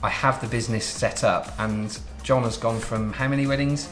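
A man in his thirties from Southwest England hosting a relaxed interview, chatting and explaining at length. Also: medium pitch, moderate pace, roomy sound, faint music behind. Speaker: interview host, wedding photographer. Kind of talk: casual interview. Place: home studio office, indoors.

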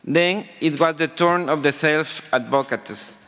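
A man's voice: on the high side, unhurried, a bit bright, a little hiss or hum. A man in his forties from Ecuador with a Spanish accent reads a prepared conference speech. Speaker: conference presenter. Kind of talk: prepared speech read from notes. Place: large conference hall panel table. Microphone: table microphone. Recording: reverberant conference hall microphone.

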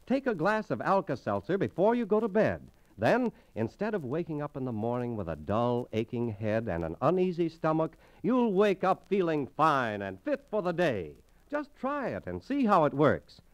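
A man's voice: a little high, dull audio. An American man reading scripted 1930s radio advertising as an announcer. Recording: restored 1930s radio transcription disc.

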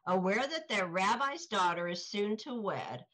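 A woman's voice: medium pitch, expressive, unhurried, fairly bright tone. An older woman reading scripted narration over a video call. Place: small indoor room, on video call. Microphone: video call, close to laptop.

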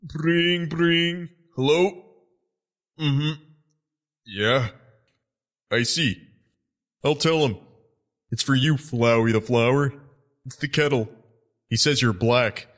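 A man voicing an unbothered, joking skeleton in a cartoon dub, casual and halting. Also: medium pitch, a bit bright. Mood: deadpan joking. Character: laid-back wisecracking skeleton, awkward and unbothered. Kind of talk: cartoon comic dub acting.

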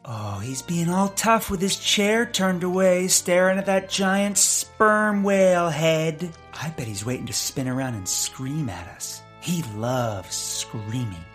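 Excitable, reverberant neurotic scientist. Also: male voice, fairly high pitch, slow pace, few pauses, soft background music. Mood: nervous. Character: neurotic, eccentric male scientist, earnest and excitable. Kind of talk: comic audio drama acting.

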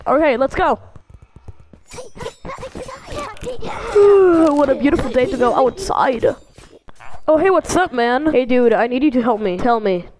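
Casting: woman playing excitable young animated adventurer, very high, lively swoops, slangy, unhurried. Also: crowd noise, full character voice. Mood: eager. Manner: slangy, exclamatory. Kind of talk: casual fan cartoon dubbing.

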